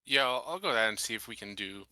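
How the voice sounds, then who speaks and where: A man's voice: lowish voice, lively intonation, fast talker, pushed and bright. A man chatting casually as a player in a tabletop game.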